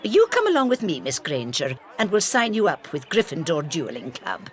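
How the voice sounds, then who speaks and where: A woman playing a brisk, stern but kindly older teacher in a video game, clipped and proper. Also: lowish voice, wildly swooping pitch. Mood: brisk, encouraging.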